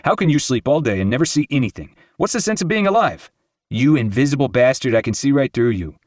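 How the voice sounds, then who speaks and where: A brash, worldly young poet, as a male narrator reads him, pushing his point with emphasis. His voice sits a little above the narrator's low tone. The sound is dry and close. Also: fairly quick, a little mellow, dry recording, distant mic, lightly acted. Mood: scolding. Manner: hectoring, emphatic.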